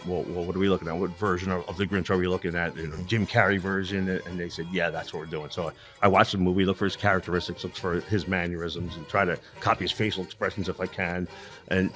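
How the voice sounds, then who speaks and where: Fast-talking older man, loud voice. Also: deep voice. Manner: loud, projecting voice, repeats words when starting sentences. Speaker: string band captain. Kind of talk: casual interview chat.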